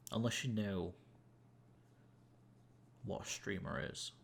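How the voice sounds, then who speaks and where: Deep gravelly guy rambling to his stream viewers, lots of ums, bit of hiss behind. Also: fairly bright tone. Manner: rambling, self-amused, many fillers and restarts. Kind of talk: casual gameplay chat to viewers.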